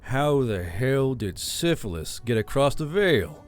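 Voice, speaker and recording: A man voicing a tired, blunt human soldier who murmurs in a low, wry way. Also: very animated, measured pace, faint music behind. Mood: disgusted.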